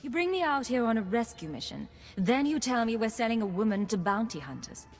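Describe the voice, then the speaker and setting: A woman playing a composed secret agent in a video game, measured and cool with a dry wit. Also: on the high side, sing-song. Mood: stern. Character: composed, principled female secret agent, dryly witty. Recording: long reverb, music behind.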